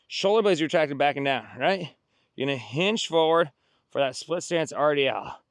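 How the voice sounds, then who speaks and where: A man's voice: fairly high pitch, clear, resonant tone, dry recording. A middle-aged man coaching a workout outdoors, cueing form, counting reps and cheering people on. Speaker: fitness instructor. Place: outdoors on a beach.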